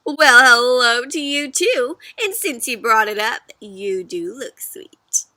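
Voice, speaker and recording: A woman voicing a sly, hungry male fox in a children's storybook, with emphatic, drawn-out delivery. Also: on the high side, expressive, bright, forceful tone, no echo, full character voice. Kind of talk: children's storybook read-aloud.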